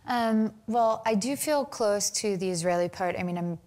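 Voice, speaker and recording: A young American woman answering interview questions thoughtfully, with many pauses, fillers and restarts. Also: mid-range voice, darkish tone. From United States. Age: young adult. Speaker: film director, interview guest.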